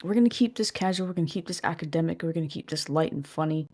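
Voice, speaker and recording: A woman hosting her own podcast in casual solo commentary, talking in a chatty, self-deprecating way with a deliberately monotone delivery and some mild swearing. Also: sits low. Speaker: podcast host.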